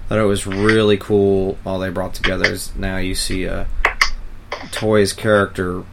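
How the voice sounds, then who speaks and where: Man, low pitch, heavy vocal fry, informal chat, hesitant, rambling. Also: flat delivery, normal speed, heavy background noise. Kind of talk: casual rambling chat with frequent profanity.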